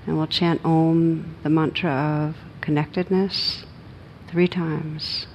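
A woman meditation teacher leading a guided meditation, giving gentle, unhurried instructions with long pauses. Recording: steady background noise with low rumble.

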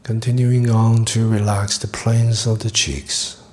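A man teaching guided meditation, speaking softly and breathily in short, unhurried phrases with long pauses, often trailing toward a whisper. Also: on the low side, little pitch movement.

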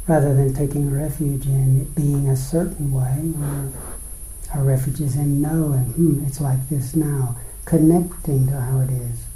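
A man leads a guided meditation in a roomy, echoing space. His voice is very dark and mellow. He moves slowly, setting out short phrases and pausing between them. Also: medium pitch, fairly even intonation. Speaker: meditation teacher. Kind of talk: guided meditation, dharma talk.

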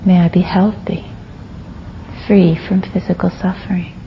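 A muffled, lo-fi recording with faint noise carries a woman guiding a meditation. Her low voice is soft, turning breathy as phrases end. She speaks gently, leaving generous pauses between short phrases. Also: level tone. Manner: gentle, unhurried, with long pauses. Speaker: meditation teacher. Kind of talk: guided meditation.

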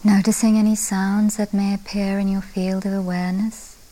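A woman leading a guided meditation, speaking gently and softly in short, unhurried phrases with breathy endings. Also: mid-range voice, level tone, poor audio. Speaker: meditation teacher.

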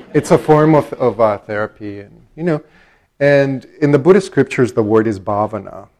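A man from El Salvador giving a relaxed, conversational dharma talk to a meditation group. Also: medium pitch, normal speed, a little hiss or hum. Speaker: meditation teacher.

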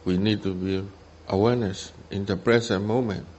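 A man with a Burmese accent, a meditation teacher, giving guided instruction in short simple phrases with long pauses between them. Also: lowish voice, steady tone, a little mellow, a little hiss or hum. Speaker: meditation teacher, Buddhist monk.

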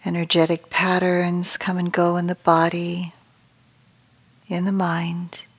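A woman meditation teacher speaks softly in a slightly low register. Her delivery is very slow and nearly flat in pitch, with long pauses. Phrases often trail off into a gentle, breathy softness as she guides the practice.

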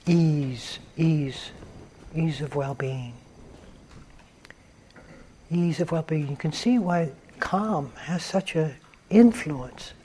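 A man with a slightly higher-than-average voice leads a guided meditation. He speaks very slowly and gently, letting long pauses fall between short, calm phrases of instruction. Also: slight background noise. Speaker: meditation teacher.

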